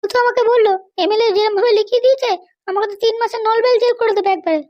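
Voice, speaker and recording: Squeaky, bossy cartoon madam. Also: female voice, steady tone, very fast, lo-fi sound. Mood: angry, complaining. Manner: bossy, scolding. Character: arrogant, threatening jailed madam demanding luxuries and release. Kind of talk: amateur cartoon comedy dubbing.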